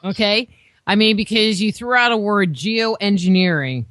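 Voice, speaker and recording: A woman's voice: mid-range voice, normal speed, a bit bright, slight background noise. A woman hosting a radio interview show, asking questions in a chatty, conversational way. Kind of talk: casual interview chat.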